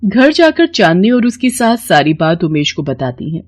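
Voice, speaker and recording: A woman with a slightly husky voice reading a scripted moral tale as a storyteller. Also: lowish voice, very animated, normal speed.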